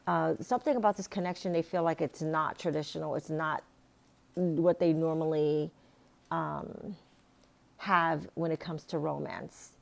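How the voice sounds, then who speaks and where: A woman with a raspy, hoarse voice giving a casual tarot reading, rambling with many fillers and restarts. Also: low-pitched, fairly even intonation, a little slow. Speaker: tarot reader.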